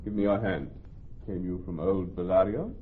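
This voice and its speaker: An actor playing a grave, authoritative ruler presiding over a court in Shakespearean radio drama, formal and measured. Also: male voice, deep voice, slightly rough. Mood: formal, commanding. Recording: thin, phone-like old radio recording.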